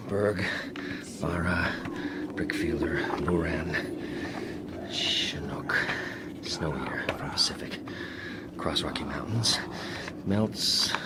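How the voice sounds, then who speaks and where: Through heavy noise comes a very low male voice, a haunted writer in a radio drama. He speaks slowly and broodingly, halting and sinking into whispers as his obsession with the wind takes hold. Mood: obsessive muttering. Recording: very noisy radio drama recording.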